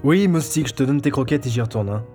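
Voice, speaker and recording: A man's voice: medium pitch, lively intonation, fast, dark tone, lightly acted. A man acting an earnest, self-assured investigator in an audio drama. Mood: tired, muttering. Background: music.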